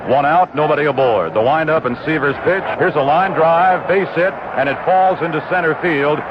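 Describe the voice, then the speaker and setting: A man calling live baseball play-by-play for radio, with a steady, measured delivery full of statistics. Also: a little high. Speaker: play-by-play announcer. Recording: muffled old 1960s radio broadcast with noise.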